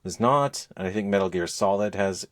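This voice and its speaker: Low-voiced man in casual podcast chat. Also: fairly quick. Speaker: podcast host.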